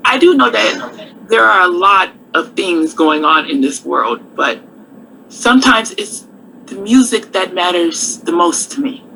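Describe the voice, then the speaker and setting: A woman's voice: fairly low pitch, lively intonation, a little slow, creaky, pushed and bright, slight background noise, heavily acted, poor audio. An actress playing a proud, worldly touring woman singer who is self-assured and sassy but turns grave and defiant. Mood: wistful. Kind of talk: stage radio drama acting.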